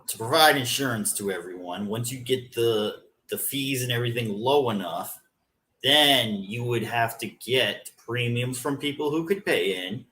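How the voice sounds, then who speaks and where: A man co-hosting a podcast, calmly walking through his views on spending and health insurance in a casual discussion. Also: medium pitch. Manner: explains at length, methodical. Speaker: podcast co-host.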